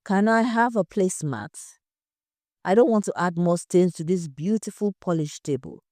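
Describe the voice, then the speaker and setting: Narrator lady as a woman detective, direct and probing, low mellow voice. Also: normal speed, dry recording, clean studio sound, lightly acted. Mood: polite. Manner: direct, questioning. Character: composed, probing female investigator.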